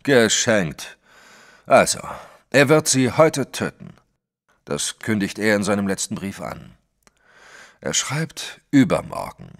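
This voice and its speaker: An actor playing a wary, plain-spoken private detective in a German audio drama, firm and sceptical. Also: male voice, lowish voice, sing-song, slow, creaky, pauses often, fairly bright tone, heavily acted.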